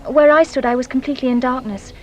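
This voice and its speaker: A woman's voice: high-pitched, fairly even intonation, fast talker, a little mellow, faint music behind, close to an everyday voice. An actress playing an anxious, guilt-ridden young Englishwoman giving testimony in a radio drama, with a hesitant, dramatic manner. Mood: uneasy recollection.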